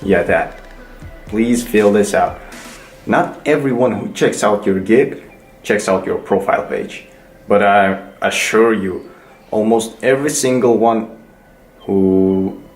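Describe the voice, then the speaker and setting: A man's voice: lowish voice, slow pace, long pauses. A young man, casually explaining things in a tutorial vlog, rambling with many fillers and restarts. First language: Kazakh. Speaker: vlogger. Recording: echoey room with faint music.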